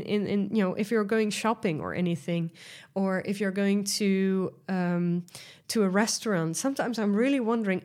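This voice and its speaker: A woman's voice: medium pitch, a little mellow. A woman from the Netherlands with a Dutch accent, hosting a solo podcast and talking through sales tips in a chatty, enthusiastic way with many fillers and restarts. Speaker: podcast host and sales coach.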